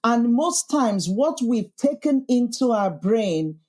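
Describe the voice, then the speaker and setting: A woman's voice: on the high side, lively intonation, takes it slowly, a little mellow. A woman with a Yorkshire accent, the podcast host, answering interview questions in an earnest, thoughtful way and building her points as emphatic lists. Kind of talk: reflective conversational interview.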